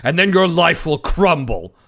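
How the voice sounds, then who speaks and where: A man's voice: a little high, very animated, unhurried, fairly bright tone. A man doing casual, joking game commentary as a streamer, sweary and mock-dramatic.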